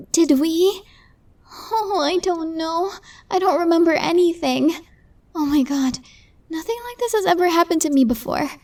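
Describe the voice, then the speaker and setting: A woman's voice: extremely high pitch, darkish tone, no room sound. A woman playing a tiny, nervous, lovestruck young woman in an ASMR roleplay, stammering and flustered. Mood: anxious whispering. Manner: stammering, flustered, breathy asides.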